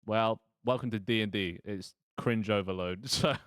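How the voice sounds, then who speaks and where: A man's voice: lowish voice, moderate pace, a bit bright, no room sound, studio quality. A man casually running a tabletop game as game master, hesitant, self-deprecating and prone to swearing.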